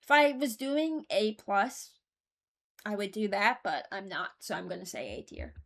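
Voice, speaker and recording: A woman's voice: mid-range voice, sing-song, measured pace, gravelly. Casual, opinionated woman reviewing. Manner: opinionated, informal, thinking aloud. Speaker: video host ranking game voice lines. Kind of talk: casual reaction commentary.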